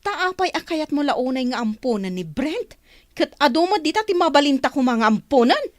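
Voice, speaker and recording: A woman playing a harsh, resentful mother in an audio drama, scolding and sharp-tongued. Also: high voice, big pitch swings, moderate pace, no room sound, studio quality. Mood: resentful, suspicious. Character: harsh, resentful mother, suspicious of her adopted son.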